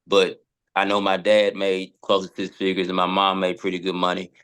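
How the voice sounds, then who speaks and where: American male, deep register, loose hesitant conversational delivery, repeats words as he goes. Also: monotone, normal speed, fairly bright tone, clean tone. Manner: informal, hesitant, circles back over words. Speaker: podcast guest. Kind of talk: casual discussion.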